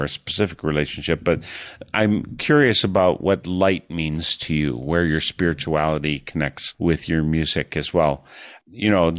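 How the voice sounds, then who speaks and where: A man hosting a community radio interview in a very low, deep voice. He asks measured, curious questions at an even pace. The sound is dry and close, with no room in it. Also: dry recording. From United States. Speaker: radio host.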